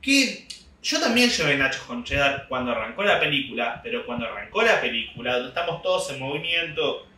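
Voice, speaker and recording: Young Argentine man, bright and roomy. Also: fairly high pitch, distant mic. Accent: Argentine Spanish. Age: young adult. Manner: rambling storytelling with laughs. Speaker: comedian podcast host. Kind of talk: casual comic monologue. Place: small home room indoors. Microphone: desk mic on stand, camera at distance.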